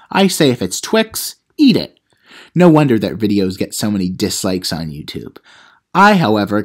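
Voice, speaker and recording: A man's voice: medium pitch, lightly acted. A man playing a cheeky, self-important teenage vlogger who gives mock how-to advice in an audio comedy. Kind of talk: comedic how-to vlog monologue.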